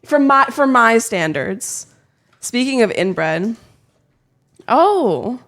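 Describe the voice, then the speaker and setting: A woman's voice: fairly high pitch, very animated, very slow, fairly bright tone. A young woman streamer chatting casually, self-deprecating and fussing with her microphone setup. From lives in Texas. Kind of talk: casual live-stream chat.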